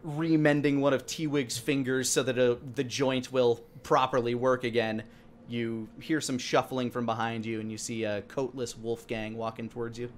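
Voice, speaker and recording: A man in his thirties narrating a tabletop role-playing game as game master, in a halting, hesitant way. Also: mid-range voice, little pitch movement. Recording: clear background noise.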